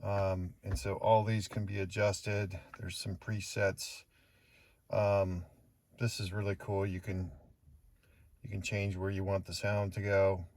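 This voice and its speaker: Low-voiced man demoing a car stereo. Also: slow pace. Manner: relaxed, hesitant with many fillers. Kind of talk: casual product demo. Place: inside a car. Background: music from the car stereo.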